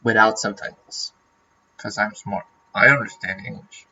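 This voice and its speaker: A man's voice: on the low side, steady tone, normal speed, bright, forceful tone, highs cut off, slight background noise. A man from Norway, a native Norwegian speaker, chatting casually on a livestream and rambling with lots of fillers and restarts. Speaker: streamer.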